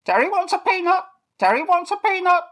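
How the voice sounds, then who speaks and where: A woman voicing a chatty male toucan with a squawky, excitable voice that repeats its phrases. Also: very high-pitched, a little fast, pitched above the performer's own voice, exaggerated voice. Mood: excited.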